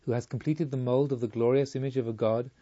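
A man reading a spiritual novel as scripted narration, in a measured, literary storytelling manner. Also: mid-range voice, steady tone, warm tone, muffled recording. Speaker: audiobook reader.

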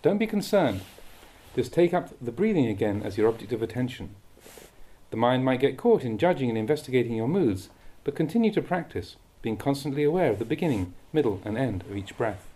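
A man reading a Buddhist meditation teaching aloud with measured, deliberate phrasing. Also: medium pitch, very animated, dark tone. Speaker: Buddhist monk reading a translated dhamma talk.

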